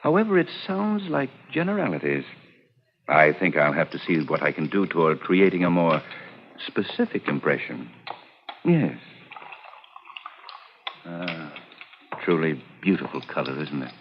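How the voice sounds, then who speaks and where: An actor playing a suave, sinister, jealous scientist in a 1940s radio drama, with a silky, insinuating, theatrical delivery. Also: male voice, very deep voice, animated, a little slow, long pauses, heavily acted. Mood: sly, insinuating. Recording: muffled, slightly noisy old radio recording.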